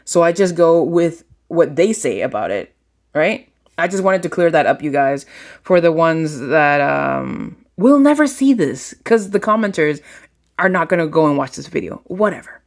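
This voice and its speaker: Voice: medium pitch, animated, normal speed. A channel host from Colombia, a native Spanish speaker, talking to viewers in a casual, rambling vlog. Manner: rambling, talks to viewers directly.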